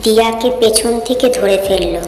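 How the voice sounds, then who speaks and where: A woman's voice: a little high, normal speed, heavy background noise. A woman reading a scripted horror story as its narrator. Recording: reverberant echo, music behind.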